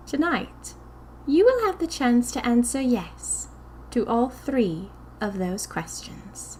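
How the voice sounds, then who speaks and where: A woman voicing a small, eerie cult leader in audio fiction, with a thin, wavering voice and slow, formal speech. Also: fairly high pitch, sing-song, darkish tone, a little hiss or hum, voice pushed up. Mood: quietly menacing. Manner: slow, drawn-out, formal questioning.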